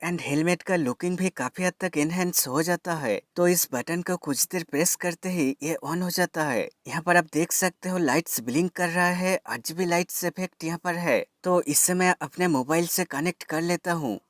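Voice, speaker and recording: A man's voice: a little high, moderate pace, few pauses, no echo, clean studio sound. A man narrating a step-by-step product tutorial in an instructional way, addressing viewers as friends and mixing in English words. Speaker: tech reviewer giving an installation tutorial.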